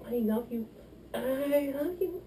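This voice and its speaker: A man's voice: very high-pitched, expressive, slow, mellow, a little hiss or hum. Drunk rambling man in a kitchen. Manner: rambling, silly, playful. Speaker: vlogger. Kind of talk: drunken cooking demo vlog. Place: small home kitchen. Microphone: camera across the room.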